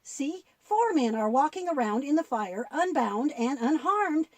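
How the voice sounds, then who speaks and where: Expressive, slow-paced woman storyteller. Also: high-pitched, no echo. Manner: expressive, instructive. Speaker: presenter of a children's Bible show. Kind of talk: storytelling dialogue with a puppet.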